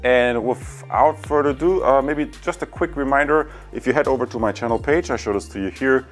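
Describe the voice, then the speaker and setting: A man's voice: medium pitch, fairly quick, soft background music. A man in his thirties giving a casual, unscripted product review in a chatty, rambling way, prone to digressions. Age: 30s. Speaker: product reviewer and channel host. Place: indoors in a home room.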